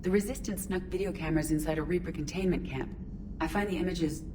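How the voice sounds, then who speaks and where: A woman playing an earnest, logical, curious artificial intelligence in a video game, speaking in formal, measured, precise phrases. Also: on the low side, background noise, close to an everyday voice. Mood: earnest, puzzled. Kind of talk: scripted video game voice acting. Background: music.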